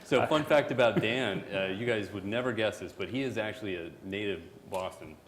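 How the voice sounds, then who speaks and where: A man giving a relaxed, joking conference talk as a product manager, full of fillers. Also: on the low side, a little fast. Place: conference hall. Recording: hall reverb, faint background noise.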